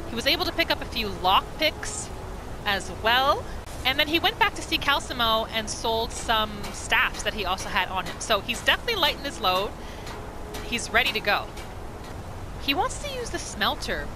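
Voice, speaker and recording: A woman narrating her video game roleplay in an informal, expressive and reactive way. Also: on the high side, a little fast, poor audio. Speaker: gaming roleplay narrator and player. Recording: voice-over with game music behind.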